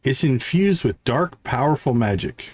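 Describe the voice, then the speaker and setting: A man livestreaming a game, thinking aloud in casual commentary and reading item stats in a deliberate, musing way. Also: medium pitch, animated, husky.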